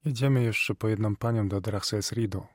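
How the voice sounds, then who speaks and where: A man's voice: on the low side, brisk, husky, dry recording, clean studio sound. A man narrating and playing a reserved, plain-spoken bus driver in a radio drama. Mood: matter-of-fact. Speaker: actor.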